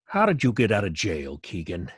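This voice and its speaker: A man playing a brisk, stern police commissioner with an authoritative, questioning manner, in old-time radio drama style. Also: low voice, wildly swooping pitch, husky, full character voice. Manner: authoritative, interrogating.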